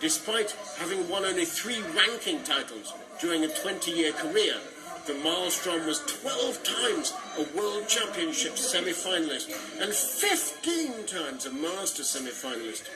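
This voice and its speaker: A man's voice: high voice, big pitch swings, a little slow, over loud music, rough recording. A man reading a literary short story aloud. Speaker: author reading own story.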